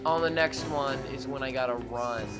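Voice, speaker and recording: A man's voice: medium pitch, normal speed, low-quality recording. A man giving casual, off-the-cuff commentary while playing a video game. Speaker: gamer commentating on a playthrough. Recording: game music behind commentary.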